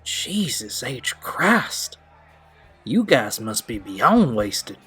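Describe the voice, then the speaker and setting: A man's voice: medium pitch, big pitch swings, very slow, sharp tone, husky, soft background music, exaggerated voice. A man playing a sarcastic, exasperated pal at a party in an audio drama, wry and sweary.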